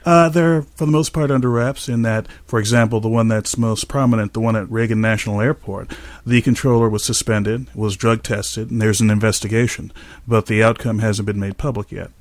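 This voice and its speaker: A man speaking as an expert host in a measured, explanatory way. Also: on the low side, fairly even intonation, no room sound. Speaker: aviation safety expert and podcast host. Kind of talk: scripted narration and expert interview answers.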